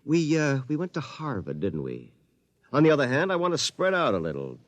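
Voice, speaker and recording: A man's voice: mid-range voice, huge pitch swings, brisk, no echo. An American actor playing a brash, cocky artificial duplicate of a meek man in a 1950s radio drama, breezy and taunting.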